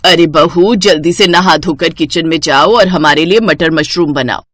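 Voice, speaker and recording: Bossy older mother-in-law for a family cartoon played by a female narrator, commanding, impatient, lower register. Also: normal speed, fairly bright tone, no echo, clean studio sound.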